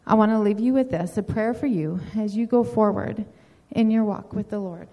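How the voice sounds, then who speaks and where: A woman speaks earnest, encouraging words and reads a prayer aloud. Her mellow voice carries a long reverb, as if in a big hall. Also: medium pitch, moderate pace. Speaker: mentor addressing a newly baptized woman. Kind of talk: encouraging message and read-out prayer.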